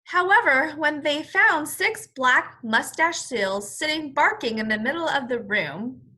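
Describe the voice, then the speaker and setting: A woman reading a children's story aloud as a teacher, in an expressive, explanatory manner. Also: high voice, a little slow. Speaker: first-grade teacher.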